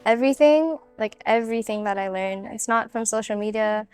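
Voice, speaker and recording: A woman's voice: on the high side, a little fast, darkish tone, soft background music. A young woman giving blunt, slangy answers in a documentary interview.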